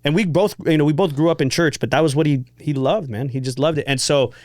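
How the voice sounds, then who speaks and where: Chatty man, higher-pitched voice. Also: fast talker, a little mellow, dry recording, studio quality. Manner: chatty, slangy, rambling, often says man and bro. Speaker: podcast host. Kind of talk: casual conversational interviewing.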